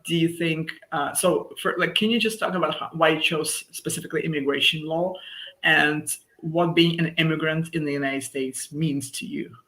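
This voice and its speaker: A male interviewer with a fairly high and very bright voice, asking his questions haltingly and searching for the right words. He comes across as curious and careful. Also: fairly even intonation, low-quality recording. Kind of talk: casual interview.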